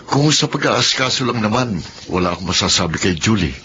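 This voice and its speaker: A man's voice: lowish voice, expressive, brisk, lightly acted. A man playing a patient, earnest young husband and respectful son in a Filipino radio drama. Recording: dull lo-fi radio recording, faint noise.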